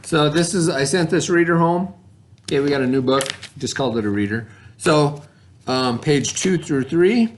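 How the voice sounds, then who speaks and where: A man's voice: fairly high pitch, moderate pace, a little hiss or hum. A man teaching a remote reading lesson to children in an informal, instructional way, carefully sounding out letters and words. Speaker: elementary school teacher.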